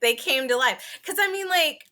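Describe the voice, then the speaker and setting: Female podcast co-host, bright voice on the higher side, informal, joking. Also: expressive, normal speed. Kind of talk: casual chat.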